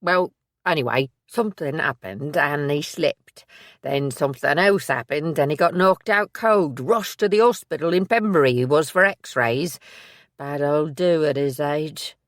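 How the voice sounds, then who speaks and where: A woman's voice: low voice, unhurried, dry recording, studio quality. A woman voicing an anxious, loyal young man, talking urgently and bluntly.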